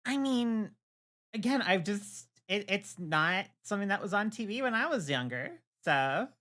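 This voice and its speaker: A woman's voice: mid-range voice, big pitch swings, normal speed, fairly bright tone. A woman hosting a livestream with casual chat, stammering over repeated words and swearing freely. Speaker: livestream host.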